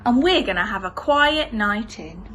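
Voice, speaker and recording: A woman voicing a busy mother elephant in a children's storybook, brisk, firm and fond. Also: mid-range voice, lively intonation, fairly bright tone, slight background noise.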